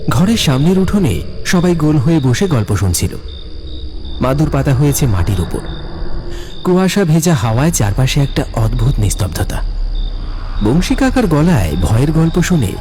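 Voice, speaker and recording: A man narrating a cartoon ghost story in a hushed, suspenseful way. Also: a little high. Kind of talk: scripted horror story narration.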